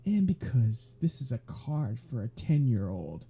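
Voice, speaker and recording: Wry, protective male vampire played by a man for intimate ASMR roleplay; unhurried, raspy-soft delivery with dry flirtatious banter. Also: mid-range voice, very animated, full character voice. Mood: amused, teasing.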